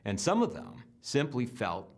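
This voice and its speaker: A man's voice: mid-range voice, wildly swooping pitch, unhurried. A man hosting the interviews, thanking guests and narrating the segment. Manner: brief, affirming replies. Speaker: host.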